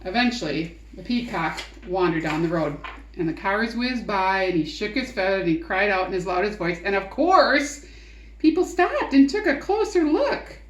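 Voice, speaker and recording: A woman reading a picture book aloud to children in an expressive, coaxing way, in an echoey room. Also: mid-range voice, normal speed. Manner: expressive, coaxing, asks the listener questions. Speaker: read-aloud teacher and storyteller. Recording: roomy echo.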